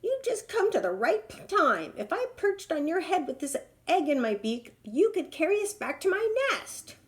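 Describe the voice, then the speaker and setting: A woman's voice: very high voice, sing-song, moderate pace, voice pushed up. A woman voicing a snappish, exclaiming female hornbill in a storybook reading. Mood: pleading. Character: flustered female hornbill bird, snappish and pleading.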